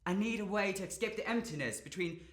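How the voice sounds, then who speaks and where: A man's voice: high-pitched, quick pace, fairly bright tone. A young man acting a dramatic monologue as a drained, burdened young man, confiding with bitter, volatile emotion. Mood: overwhelmed, pleading. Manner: confessional, sweary, emotionally volatile. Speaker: drama student actor. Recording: echoey room.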